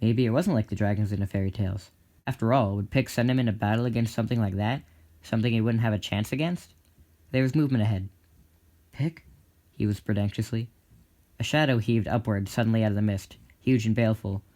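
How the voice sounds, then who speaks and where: Low-pitched man narrating a dramatic story. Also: steady tone, quick pace, a little mellow. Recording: music behind the voice. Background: clear music.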